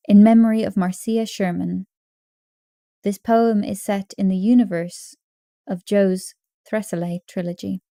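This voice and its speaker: A woman giving an expressive poetry reading, with measured, earnest and dramatic phrasing. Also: medium pitch, no echo, studio quality. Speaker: poetry narrator.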